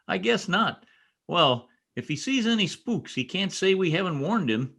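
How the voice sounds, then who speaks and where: An easygoing state trooper who likes to josh, acted by a man in old radio drama style. His voice is husky and mid-pitched, with lively swings in its casual teasing. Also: a little slow, full character voice.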